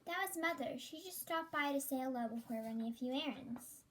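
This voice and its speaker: A devoted, worried young wife of the early twentieth century, played by a child of about ten. The voice is very high and childlike, with the earnest delivery of a school radio play. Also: a little fast, fairly bright tone. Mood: concerned, fond. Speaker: fourth grade student actor.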